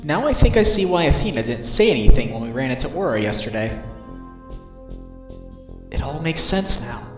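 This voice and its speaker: A man's voice: medium pitch, sing-song, normal speed, pauses often, echoey room, over music, lightly acted. A man voicing an earnest, slightly hapless defence lawyer in a game fandub, wry and musing. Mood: puzzled. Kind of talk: video game fandub acting with inner monologue.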